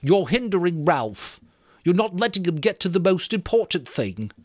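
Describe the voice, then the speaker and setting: A man's voice: high-pitched, big pitch swings, moderate pace, darkish tone, dry recording, clean studio sound, voice pushed up. A man reading a novel aloud, playing an anxious, indignant schoolboy know-it-all with a pointed, scolding manner. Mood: indignant, urgent. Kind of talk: amateur dramatic reading of a novel.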